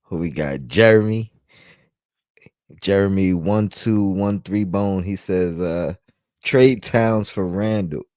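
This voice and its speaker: An easygoing man co-hosts a casual fan podcast in a very low voice. He talks in a relaxed way and keeps checking in with "you know what I mean." The recording is dry and close. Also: slow, no room sound. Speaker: podcast co-host.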